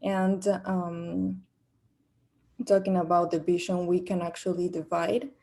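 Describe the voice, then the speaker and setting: A young Peruvian woman with a Spanish accent answers interview questions in English, her second language. Her voice sits a little low and stays flat, with a mellow, darker tone. She hesitates often, restarting phrases and filling pauses with "uh" and "um.". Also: gravelly. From Peru. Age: young adult. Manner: hesitant, many fillers and restarts. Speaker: job candidate. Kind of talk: practice job interview.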